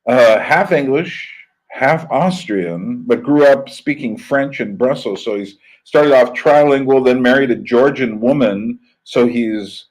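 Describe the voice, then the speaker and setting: A man's voice: medium pitch, unhurried, darkish tone, low-quality recording. A man from the United States talking casually on a live stream, rambling with many fillers, restarts and chuckles. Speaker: channel host.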